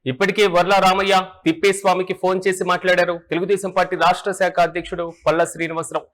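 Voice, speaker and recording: A man's voice: high-pitched, little pitch movement, rapid-fire, a bit bright. A man presenting news and political analysis in a formal, repetitive manner.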